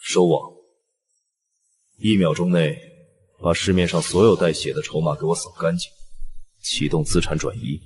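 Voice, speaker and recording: An actor playing a ruthless, possessive crime boss in an audio drama, terse and menacing, with a husky, breathy voice. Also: male voice, low voice, steady tone, moderate pace, long pauses, darkish tone. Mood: commanding. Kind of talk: scripted audio drama acting.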